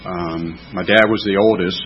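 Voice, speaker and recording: A man in his fifties with a Southern US accent, telling his recovery story at a meeting, halting with many fillers and restarts. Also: deep voice, steady tone, a little hiss or hum. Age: 50s. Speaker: AA speaker. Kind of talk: personal recovery talk. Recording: dull lo-fi meeting recording.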